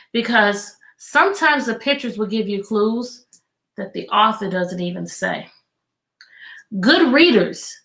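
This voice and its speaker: A woman teacher hosting an online read-aloud for children, speaking in an animated, encouraging way and putting questions straight to her young viewers. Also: fairly high pitch. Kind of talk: live educational talk to children online. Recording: echoey room sound.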